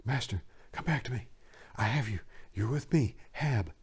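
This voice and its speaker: A man voicing a devoted young servant in a dark story, speaking in hushed, urgent, tender tones to his master. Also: mid-range voice, animated, moderate pace, gravelly, slightly rough, pitched above the performer's own voice, heavily acted. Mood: anxious, tender. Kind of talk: dramatic audiobook character reading.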